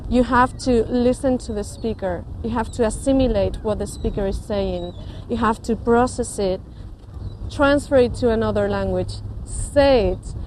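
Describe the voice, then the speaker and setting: A woman's voice: a little high, a little mellow, a little hiss or hum. A woman from Spain, an interpreter explaining her work in an emphatic documentary interview. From Basque Country, Spain. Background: background music.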